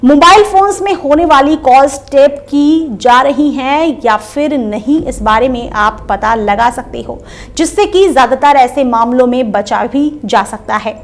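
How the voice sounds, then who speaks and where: High-pitched woman narrating a news explainer. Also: unhurried.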